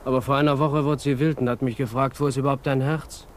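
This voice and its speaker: A man's voice: mid-range voice, flat delivery, fast talker, lightly acted. Man acting a brooding, restless young man. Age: young adult. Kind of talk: 1950s German radio play acting. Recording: 1950s radio recording, faint noise.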